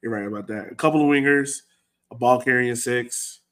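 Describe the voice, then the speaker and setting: A man with an average-pitched voice talks sports in a casual, conversational way. He sounds like a co-host on a fan show, thinking out loud and tossing out questions for discussion. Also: moderate pace.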